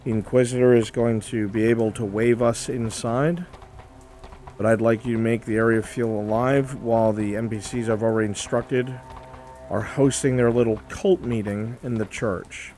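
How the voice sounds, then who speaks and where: A man's voice: medium pitch, fairly even intonation, normal speed, a little mellow, faint music behind. A man giving casual instructions to volunteer players as game master of a live roleplay.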